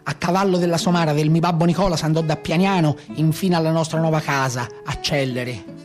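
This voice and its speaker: Man as a Tuscan brigand, higher male voice, colloquial folk storyteller, bitter, radio theatre monologue, music behind. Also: steady tone, fairly quick, lightly acted. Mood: wistful storytelling. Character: Tuscan brigand, former cowherd, bitterly recounting his life.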